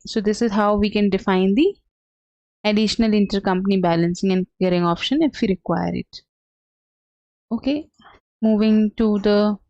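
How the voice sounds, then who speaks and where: A woman giving an unscripted software tutorial as a trainer, explaining step by step in a halting, hesitant way. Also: mid-range voice, no room sound. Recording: dull, lo-fi, dry close sound.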